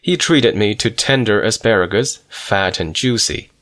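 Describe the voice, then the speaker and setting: A man narrating a memoir audiobook in a measured, reflective manner. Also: on the low side, a bit bright.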